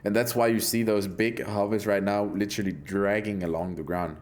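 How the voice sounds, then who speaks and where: A man's voice: fairly low pitch, fairly even intonation, a little fast, a little mellow. A man from Denmark with a Danish accent, hosting a live game stream in a brash, profane, joking way. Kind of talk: casual live-stream chat.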